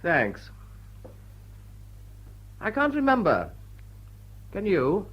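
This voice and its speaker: An actor playing a dashing, sardonic young lord in period drama, breezy, teasing and probing. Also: male voice, sits high, huge pitch swings, drawn-out words, lo-fi sound, heavily acted. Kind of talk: period stage comedy radio drama.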